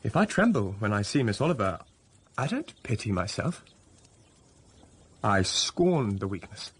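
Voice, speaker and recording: A man's voice: lowish voice, big pitch swings, a little slow, slightly rough, full character voice. An actor playing a stern, self-denying young clergyman in a period radio drama, speaking formally and measuredly. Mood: intense, austere.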